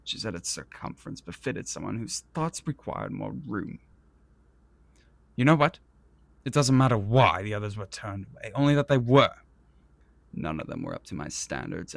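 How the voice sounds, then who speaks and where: An actor playing an arrogant, vain and petulant prince in a fantasy audio roleplay, speaking in a pompous, theatrical and condescending manner. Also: male voice, on the low side, expressive, moderate pace, gravelly, dry recording. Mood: petulant, indignant. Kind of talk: scripted ASMR roleplay audio drama.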